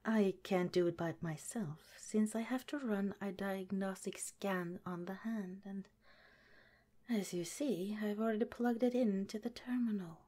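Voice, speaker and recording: A woman voicing a gentle, caring female head scientist of a secret underground lab, speaking in a soft, slow whisper. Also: mid-range voice, close to an everyday voice. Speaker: ASMR roleplay performer. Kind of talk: ASMR roleplay.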